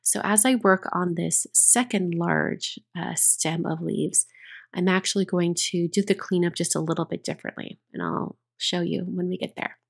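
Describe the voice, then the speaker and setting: A woman recording a relaxed, chatty voiceover for a card-making tutorial, her voice a little rough after being sick, with frequent ums and restarts. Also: fairly low pitch, normal speed. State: recovering from illness, voice a bit rough.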